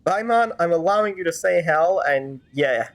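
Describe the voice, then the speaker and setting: A woman's voice: on the low side, expressive, moderate pace, a crowd in the background. A woman streamer improvising fan-dub commentary over a game, sarcastic and rambling.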